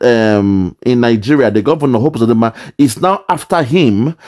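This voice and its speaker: A man's voice: medium pitch, mellow. A man commenting on a video clip, indignantly explaining and repeating his points with rhetorical questions.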